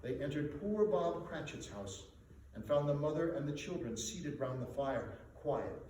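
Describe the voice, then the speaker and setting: A man giving a theatrical, deliberately phrased dramatic reading of a literary story. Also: on the high side, expressive, darkish tone. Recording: very echoey room, distant mic, faint noise.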